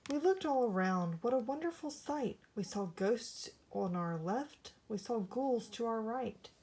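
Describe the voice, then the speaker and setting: A woman reading a rhyming children's story aloud in an expressive, theatrical storytelling manner. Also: fairly high pitch, a little slow, a little hiss or hum. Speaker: storybook reader.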